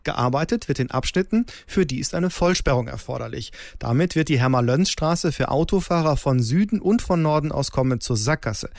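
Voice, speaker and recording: A man's voice: a little high, lively intonation, a little fast, vocal fry, hardly any pauses, darkish tone, no echo. A man delivering a scripted report as a radio newsroom reporter.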